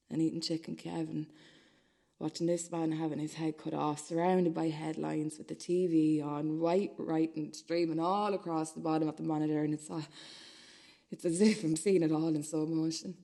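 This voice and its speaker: A woman playing a rambling, transfixed young woman in a radio play monologue, confessing in a halting, repetitive, conversational way. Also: sits low, vocal fry, warm tone. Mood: numb, detached.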